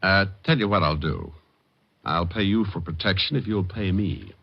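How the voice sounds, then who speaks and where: A man's voice: low voice, moderate pace, no echo. A man playing a tough, sardonic, wisecracking private detective in an old radio drama, with a dry, flippant delivery. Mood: cocky. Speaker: lead actor and narrator. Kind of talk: 1940s-50s radio detective acting. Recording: dull vintage radio recording, faint music.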